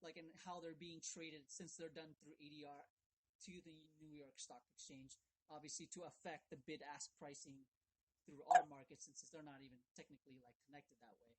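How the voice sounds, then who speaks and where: A man calling a brokerage rep, casually explaining things in wordy, halting stretches and often asking for confirmation. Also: fairly high pitch, steady tone, background noise. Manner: wordy, halting explanations with repeated check-in questions. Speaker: caller questioning a brokerage rep. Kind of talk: casual phone conversation, checking his understanding.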